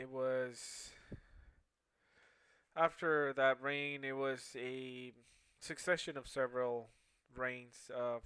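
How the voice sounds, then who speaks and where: A young man whose first language is Spanish, giving a class presentation in a halting way with many fillers and restarts. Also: medium pitch, noisy background. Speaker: student presenter.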